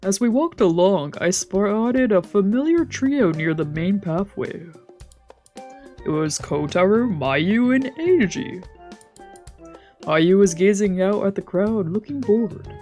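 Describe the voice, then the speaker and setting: A woman's voice: mid-range voice, expressive, slow pace, mellow, soft background music. A woman, a streamer narrating a visual novel in a let's play. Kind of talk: let's play, narrating and voicing translated lines.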